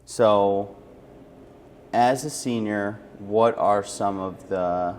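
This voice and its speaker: A man with a fairly low voice conducts a friendly, conversational interview. He speaks in an encouraging, affirming way as host, with faint noise behind him. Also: a little mellow.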